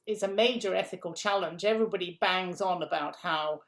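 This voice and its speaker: Gravelly-voiced woman, emphatic and questioning. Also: lowish voice, fairly quick. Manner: emphatic, repeats key phrases, rhetorical questions. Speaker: food policy guest. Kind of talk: conversational interview answer.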